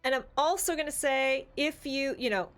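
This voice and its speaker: A woman's voice: high voice, moderate pace, a bit bright, soft background music. A woman co-hosting a talk show, speaking casually and emphatically as she argues her opinions. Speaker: podcast co-host. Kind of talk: opinionated discussion, casual chat.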